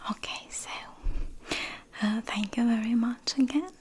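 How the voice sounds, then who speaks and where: Woman, ASMR whisperer, slow level whisper, hesitant, soft hiss behind. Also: fairly high pitch. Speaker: ASMR collab guest.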